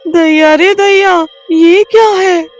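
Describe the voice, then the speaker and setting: A jumpy young village woman in a spooky cartoon, voiced by a woman, high and shrill and quick to wail. Her voice swoops across a wide range, and a faint music bed sits behind her. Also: sing-song, a little mellow, full character voice. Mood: frightened. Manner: shrill and wailing, easily frightened. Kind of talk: cartoon voice acting.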